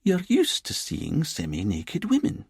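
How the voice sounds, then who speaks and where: A man puts on a playful, self-assured woman in her forties. Her Edinburgh accent lilts, pitched up and husky, in a storybook drama. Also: wildly swooping pitch, a little slow, darkish tone, dry recording, clean studio sound, full character voice. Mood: smoothing over. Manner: lilting.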